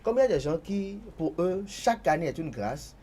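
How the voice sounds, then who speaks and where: A man's voice: a little high, sing-song, normal speed, dark tone. A man on a studio panel, talking insistently and repeating words as he cuts in. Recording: faint background noise.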